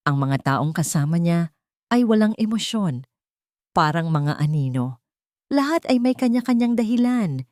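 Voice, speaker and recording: A woman's voice: lowish voice, a little mellow, no room sound, studio quality. A woman narrating a dramatic romance story in an emotive storytelling manner.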